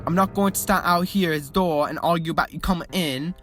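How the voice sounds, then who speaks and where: A reader voicing an anxious young male pop singer who rushes and stumbles through his words, with music behind. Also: medium pitch, fairly bright tone, lightly acted. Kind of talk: reading fan fiction aloud.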